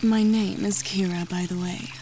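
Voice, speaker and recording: A woman's voice: mid-range voice, fairly even intonation, moderate pace, warm tone, faint music behind, close to an everyday voice. A woman voicing a sly, elegant female serpent-folk fortune-teller in an audiobook, speaking formally and with amusement. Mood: sly, amused. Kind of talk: audiobook character voice.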